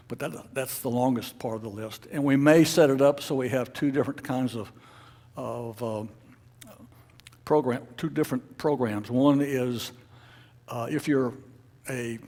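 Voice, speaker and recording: Man giving a halting, informal club presentation. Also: mid-range voice, a little mellow. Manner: halting, rambling, frequent uhs and false starts. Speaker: amateur radio club officer presenting at a meeting.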